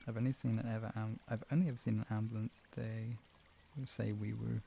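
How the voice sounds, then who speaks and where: A man's voice: lowish voice, level tone, a little fast, vocal fry, dark tone, husky. A man hosting a live stream, talking informally and off the cuff to viewers, often rambling and trailing off mid-thought. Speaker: live stream host and relaxation guide. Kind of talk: casual, improvised live-stream chat with viewers.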